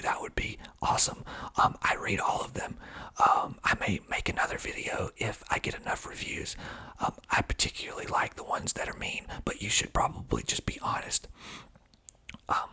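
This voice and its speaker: A man's voice: sits low, creaky, sharp tone, slightly rough. A man whispering breathily in an ASMR style as he reads aloud, adding wry, understated asides. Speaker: filmmaker and actor reading reviews of own film.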